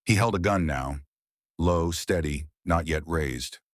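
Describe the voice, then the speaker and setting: Deep-voiced man narrating a thriller. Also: no echo, clean studio sound. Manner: dramatic, tense delivery with pauses. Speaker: audiobook narrator.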